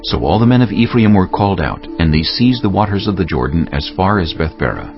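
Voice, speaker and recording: Man, deep voice, lively inflection, scripted scripture narrator, soft music behind. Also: fairly quick, highs cut off.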